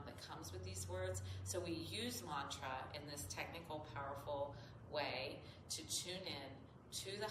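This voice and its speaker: A woman with a slightly nasal voice explains as a yoga teacher in an informal, earnest, rambling tutorial. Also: low-pitched. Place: echoey indoor yoga studio. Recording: roomy echo, clearly noisy background.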